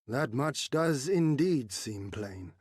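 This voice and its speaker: An actor playing a composed foreign warrior in a video game, guarded and diplomatic, with formal, old-fashioned speech. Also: male voice, medium pitch, animated, a little mellow, husky, no echo, clean studio sound. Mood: measured, diplomatic. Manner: measured, formal, archaic speech. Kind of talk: video game cutscene acting.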